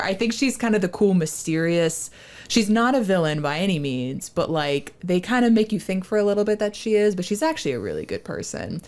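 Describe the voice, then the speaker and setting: Relaxed woman chatting on a podcast. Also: on the low side. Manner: relaxed and chatty, a little hesitant. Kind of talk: casual podcast chat.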